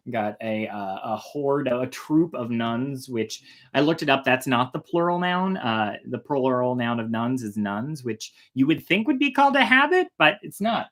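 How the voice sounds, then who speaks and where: A storyteller hosting a fairytale reading in an animated style with casual asides. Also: mid-range voice, a little slow. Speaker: storyteller, host.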